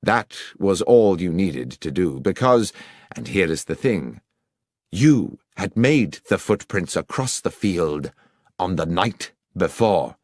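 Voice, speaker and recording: A man's voice: low-pitched, expressive, takes it slowly, a little mellow, dry recording, clean studio sound, voice pushed down, full character voice. A man voicing a confident, incisive detective in an audiobook, speaking formally and precisely as he lays out deductions. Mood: confident, accusing. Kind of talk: audiobook character acting.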